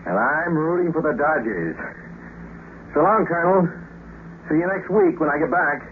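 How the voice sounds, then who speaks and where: Actor as higher-voiced wry American astronaut, old radio. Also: male voice, lively intonation, moderate pace, phone-quality sound, lightly acted. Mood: wry, jaunty. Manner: flippant, wisecracking. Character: wry, breezy male astronaut captain. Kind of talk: 1950s radio drama acting. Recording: thin, noisy 1950s radio recording.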